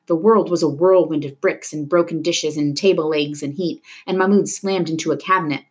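A woman reading a novel aloud in an expressive storytelling style, dramatic at tense moments. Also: low voice, brisk. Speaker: reader of a children's novel. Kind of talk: expressive read-aloud narration of a book.

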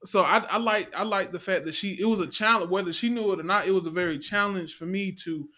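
A man's voice: high voice, fast talker, bright, forceful tone. An American man in casual conversation about acting, circling back and repeating phrases for emphasis. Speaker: stage play actor, panel guest. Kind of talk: casual group chat.

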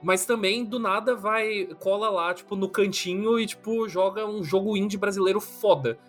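A man, chatting as a podcast guest and telling stories in an animated, slangy way, full of fillers. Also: high voice, moderate pace, soft background music. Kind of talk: casual chat.

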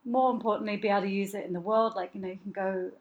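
A woman's voice: mid-range voice, fairly even intonation, very fast, narrow, tinny audio, a crowd in the background. Woman, podcast co-host, shivering, self-deprecating and full of laughs. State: shivering from the cold. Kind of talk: casual chat. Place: cold apartment full of moving boxes.

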